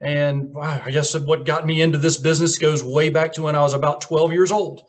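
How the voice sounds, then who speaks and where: A man's voice: on the high side, level tone, brisk. A man in his fifties from Tennessee with a Southern accent, telling stories as a guest on a radio talk show. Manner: folksy storytelling, chuckles at own lines. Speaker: guest property manager. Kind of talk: casual radio interview.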